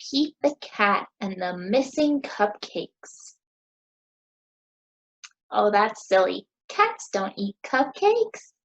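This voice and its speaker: An American woman in her thirties teaching young children, asking questions in a sing-song, encouraging way with deliberate pauses. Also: medium pitch, very animated. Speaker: teacher hosting a children's story time.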